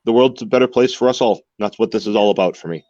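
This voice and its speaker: A man's voice: on the low side, quick pace, a little mellow, no room sound, muffled recording. A man in his forties, a guest in a candid interview, talking earnestly and repeating words as he searches for them. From United States. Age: 40s. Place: home in Mexico.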